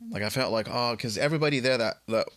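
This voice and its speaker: A man from Egypt in his late thirties, a guest telling his story in a casual interview, halting, with many fillers and restarts. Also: mid-range voice, fairly bright tone, no echo, studio quality. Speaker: guest guitarist.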